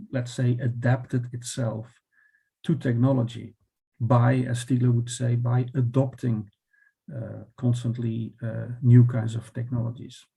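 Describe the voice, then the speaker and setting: A man's voice: medium pitch, vocal fry, mellow, husky, low-quality recording. A man with a Dutch accent explaining philosophy in conversation, thoughtful and hesitant, often restarting and checking with "right?". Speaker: philosopher guest.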